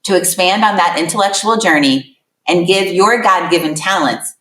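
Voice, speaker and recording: A woman's voice: lowish voice, normal speed, a bit bright. A middle-aged woman hosting an admissions webinar, giving an emphatic, welcoming address with repeated words for effect. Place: room with a laptop. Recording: roomy echo, mic at a distance.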